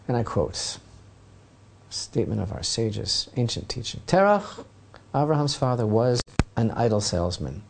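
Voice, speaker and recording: Brief: male rabbi teacher, mellow warm tone, lively storyteller with asides. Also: medium pitch. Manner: dramatic storytelling, asides to listeners. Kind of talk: Torah lesson retelling a legend.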